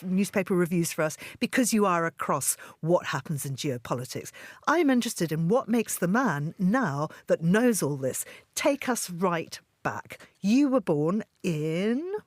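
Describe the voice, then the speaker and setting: Woman hosting a books show on radio, gushing a bit, husky voice, really expressive pitch. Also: no room sound, clean studio sound. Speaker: radio host, interviewer. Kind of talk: scripted introduction and conversational book interview.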